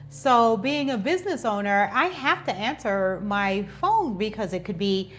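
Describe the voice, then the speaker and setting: A woman's voice: medium pitch, expressive, unhurried. Woman vlogger in her fifties, faint hum. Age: 50s. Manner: conversational, hesitant, repeats words. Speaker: channel host and business owner. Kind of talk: informal explainer vlog to camera.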